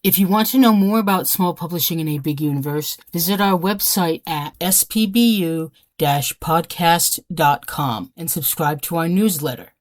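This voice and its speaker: Low-pitched woman announcer, dry studio sound. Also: normal speed, few pauses, dry recording. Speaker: podcast announcer. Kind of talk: scripted outro narration.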